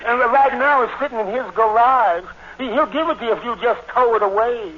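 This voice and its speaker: A man's voice: very high-pitched, fairly quick. An actor playing a nervous, tongue-tied little man who stammers and restarts his sentences, in a 1940s radio comedy. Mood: flustered. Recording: dull, noisy old radio recording.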